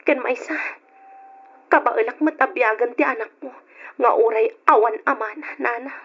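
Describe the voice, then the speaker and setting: A woman playing a resolute young single mother in a radio drama, earnest and husky, with an Ilocano accent. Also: a little high, normal speed. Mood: resolute, sad. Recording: dull old radio drama recording.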